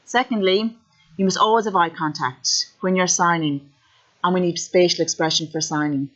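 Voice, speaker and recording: A woman with an Irish accent who speaks plainly and directly in the first person, giving steady testimony. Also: fairly low pitch, moderate pace. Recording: dull lo-fi audio.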